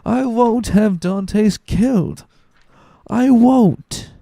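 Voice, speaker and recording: A man voicing a drunken, loyal and stubborn tailor in slurred, drawn-out speech for a dramatic book reading. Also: sits high, gravelly, mellow, no echo, clean studio sound, voice pushed up, heavily acted. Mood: drunken protest.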